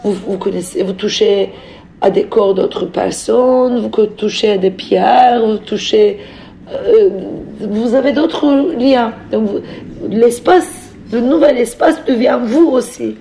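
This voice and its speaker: Turkish-accented woman, mellow and creaky, thinking out loud, hunting for words and repeating herself. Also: on the high side, a little hiss or hum. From Turkey. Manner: halting, searching for words, repeats phrases for emphasis. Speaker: novelist speaking as a guest. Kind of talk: reflective personal account, spoken off the cuff.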